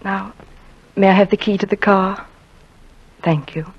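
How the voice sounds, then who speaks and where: A woman's voice: medium pitch, steady tone, a little slow, slightly rough. A proud small-town wife speaks coolly and with dignity, holding her hurt in. Her voice sits in an ordinary middle range. The sound is dull and lo-fi, like an old radio broadcast. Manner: composed, cool and dignified. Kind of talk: 1940s radio acting.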